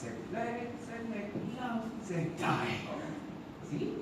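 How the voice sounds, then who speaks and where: Eccentric, slow, swooping professor. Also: male voice, extremely high pitch, big pitch swings, heavily acted. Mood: enraptured. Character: doting, eccentric male insect professor, gentle enthusiast. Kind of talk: comic stage radio play acting. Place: live theatre stage. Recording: echoey theatre from far off, noisy.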